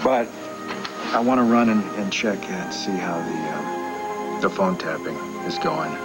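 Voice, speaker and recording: An actor playing a devoted, reassuring male sweetheart in a television soap, tender and a little halting. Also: low-pitched, lively intonation. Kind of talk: television soap drama acting. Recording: loud music over dialogue.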